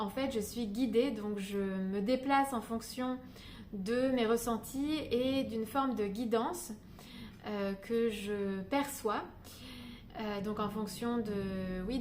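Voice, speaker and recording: A woman with a slightly high voice muses aloud for her vlog, pausing on frequent "euh" fillers and circling back on her words. She records indoors, and a faint stormy ambience sits behind her speech. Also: a little mellow, slight background noise. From Paris, France. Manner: hesitant, many "euh" fillers and restarts. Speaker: spiritual guidance vlogger. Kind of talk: unscripted reflective monologue to camera. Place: indoors in Paris during a thunderstorm.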